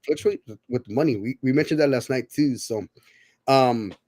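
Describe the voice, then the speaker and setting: A man's voice: medium pitch, normal speed, darkish tone, dry recording. A man in his thirties hosting a casual podcast chat, rambling and often repeating his words. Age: 30s. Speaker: podcast host.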